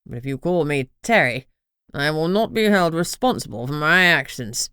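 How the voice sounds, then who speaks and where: A woman voicing a posh, disgruntled male talking crown who speaks in a pompous, gentlemanly way. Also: low-pitched, lively intonation, normal speed, smooth, no room sound, studio quality, voice pushed down. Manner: pompous, formal.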